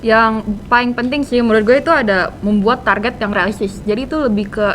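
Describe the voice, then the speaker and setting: A woman's voice: brisk, fairly bright tone. A teenage girl with a Jakarta accent, answering as a podcast guest in informal, slangy speech sprinkled with English terms. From Indonesia. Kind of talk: casual interview chat. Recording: noisy room, low rumble.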